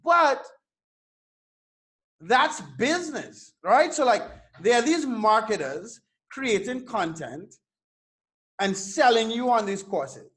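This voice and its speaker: High-pitched man from Trinidad and Tobago. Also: slow, long pauses. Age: 30s. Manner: animated, swears casually, repeats words. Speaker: guest entrepreneur. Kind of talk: casual interview storytelling.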